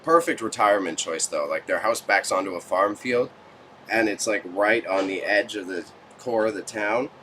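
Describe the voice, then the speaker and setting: Low-voiced Canadian man chatting on a livestream. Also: moderate pace, sharp tone, a little hiss or hum. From Toronto, Canada. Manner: slangy, joking banter, says bro and man often. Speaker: livestream host. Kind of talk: casual livestream chat with viewers. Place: small warehouse space stacked with goods.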